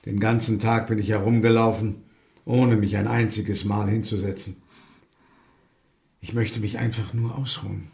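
An actor playing a genteel, weary and odd gentleman scientist in a radio drama, formal, courteous and deliberate. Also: on the low side, level tone, vocal fry. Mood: weary, agitated. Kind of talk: German radio drama acting. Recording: roomy binaural interior.

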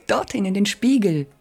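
An alluring, dreamlike opera singer in a radio play. She speaks slowly, in a breathy half-whisper, with mysterious, probing phrasing. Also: medium pitch, darkish tone, full character voice. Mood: playful. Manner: slow, enigmatic, probing. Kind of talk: literary radio play acting.

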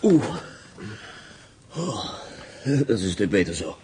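An actor playing a calm, commanding escaped German prisoner of war who speaks with a German accent. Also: male voice, on the low side, slow pace, a little hiss or hum. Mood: wary. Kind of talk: radio play acting.

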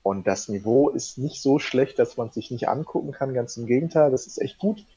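A man's voice: mid-range voice, lively intonation, brisk, warm tone, husky, low-quality recording. A man guesting on a casual podcast, talking eagerly and rambling with many fillers. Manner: enthusiastic, rambling, laughs into sentences. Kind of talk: casual chat.

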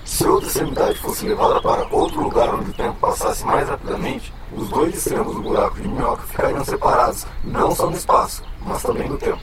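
A man's voice: fairly quick, few pauses. Man playing a noisy, synthetic-voiced scientist. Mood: didactic. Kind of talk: educational radio drama acting. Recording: very noisy, distant recording.

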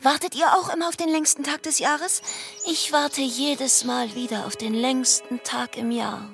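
An actress playing a flighty, theatrical young wife in a German radio play, affected and gushing, repeating phrases for effect. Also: female voice, high-pitched, fairly even intonation, moderate pace, vocal fry, a bit bright, faint music behind. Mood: dreamy, languid. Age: young adult.

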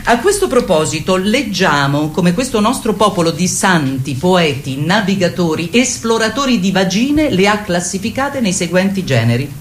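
A woman's voice: lowish voice, very animated, normal speed, hardly any pauses. Declamatory woman therapist, noisy recording. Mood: indignant, ironic. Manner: didactic, declamatory. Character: assertive female therapist leading a women's group. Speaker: leader of a therapy group. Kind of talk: theatrical radio play monologue.

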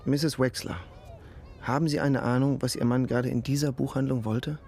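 A man acting a courteous police detective in a radio play. He speaks at a middling pitch, careful and tactful, with faint noise behind him. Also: steady tone, moderate pace, creaky, darkish tone, natural voice. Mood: gentle, tactful. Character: young male police detective, polite, considerate and careful. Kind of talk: naturalistic radio drama acting.

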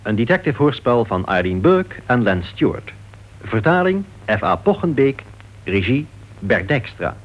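A man's voice: medium pitch, sing-song, moderate pace, slight background noise. A man announcing the title and credits of a radio play in a scripted style. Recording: dull old radio recording.

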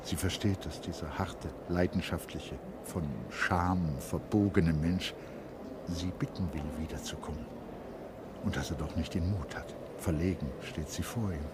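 A man narrating a literary radio play as a grave, intense storyteller, measured and dramatic. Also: deep voice. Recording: faintly noisy radio play recording.

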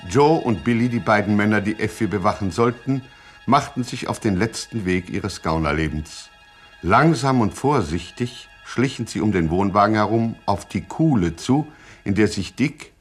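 Husky, lowish male radio narrator. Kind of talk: dramatic scripted radio narration.

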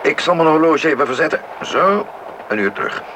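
A man's voice: animated, fairly quick, natural voice. An actor playing a kindly, easygoing father who explains and reassures in a radio play. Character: easygoing, kindly father on a long train journey. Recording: noisy radio play recording. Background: rumbling train.

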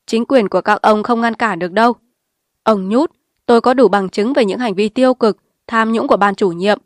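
A woman's voice: mid-range voice, no echo, studio quality, natural voice. A woman reading a story aloud, voicing a bold, scheming village man who talks in a heated, confrontational way.

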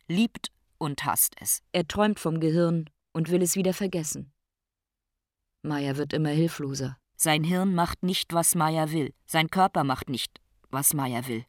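An actor playing a detached narrator in a radio drama, speaking in terse, clinical, emphatic statements. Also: male voice, fairly high pitch, no echo, clean studio sound, lightly acted. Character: detached clinical male narrator reporting a man's decline.

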